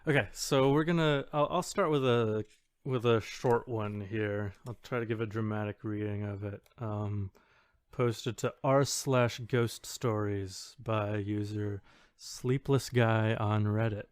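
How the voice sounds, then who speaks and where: A man's voice: lowish voice, steady tone, takes it slowly, clean tone, no echo. A man co-hosting a podcast, chatting casually and reading posts aloud in a dry, hesitant, skeptical way. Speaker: podcast co-host.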